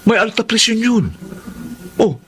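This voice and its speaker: A man's voice: extremely high pitch, a little slow, a bit bright, a little hiss or hum. An actor playing a placating husband torn between wife and mother in a Tagalog radio drama, hesitant and apologetic, with a Filipino accent. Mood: defensive, exasperated.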